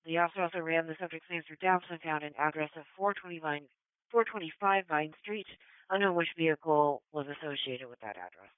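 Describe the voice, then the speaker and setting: A police dispatcher heard over a tinny, narrowband scanner radio. The voice is clear and smooth at a middling pitch, very dry, reading out calls and numbers in clipped procedural phrases. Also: brisk, dry recording.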